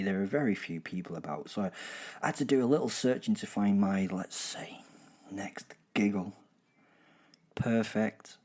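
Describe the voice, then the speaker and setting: A man playing a gleeful, boastful werewolf in a horror comedy audio drama, telling of the hunt in a chatty, digressive way with husky drops toward a whisper. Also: fairly low pitch, a little mellow. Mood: gleefully mischievous. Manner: chatty, digressive, self-interrupting, darkly jokey. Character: gleeful, boastful male werewolf killer recounting his hunt.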